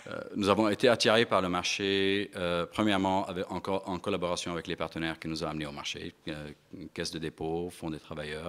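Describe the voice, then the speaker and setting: Deep-voiced man giving a halting speech. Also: fairly bright tone, clean tone. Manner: halting, many fillers and restarts. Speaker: investment firm partner giving a speech. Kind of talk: conference speech. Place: event hall before an audience.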